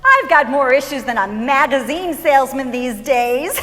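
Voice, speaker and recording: A woman's voice: high voice, sing-song, moderate pace, big-room sound. An actress playing a frail, wisecracking, kind-hearted elderly mother in a staged musical, speaking in a folksy, emphatic, theatrical way. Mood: wry, defiant. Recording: live stage performance over loud piano. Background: piano accompaniment.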